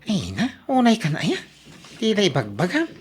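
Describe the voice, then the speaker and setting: A woman's voice: mid-range voice, wildly swooping pitch, moderate pace, darkish tone, slightly rough, heavily acted. A woman playing a defensive working husband in an Ilocano radio drama, protesting and placating. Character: defensive working husband accused by jealous wife.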